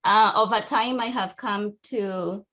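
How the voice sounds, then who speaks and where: Woman with a Kenyan accent, employee posing a careful question, mid pitch, video call. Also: fairly even intonation, fairly bright tone. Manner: earnest, measured, many "um"s. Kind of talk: casual panel discussion.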